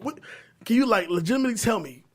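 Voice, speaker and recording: High voiced guy on a podcast, joking around, blunt, slangy and sweary. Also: expressive, quick pace, slightly rough. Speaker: podcast panelist leading the discussion. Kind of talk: casual profane banter about movies.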